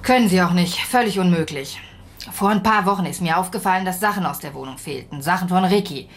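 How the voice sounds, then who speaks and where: A woman's voice: fairly low pitch, fast talker, close to an everyday voice. An actress playing a weary, blunt woman talking to police in a naturalistic radio drama. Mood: weary, bewildered.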